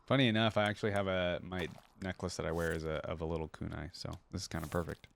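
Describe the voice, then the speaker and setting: A man's voice: low voice, flat delivery, fast, vocal fry, slight background noise. A man giving casual, informal gaming reaction commentary, thinking aloud with short interjections. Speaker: reaction video host.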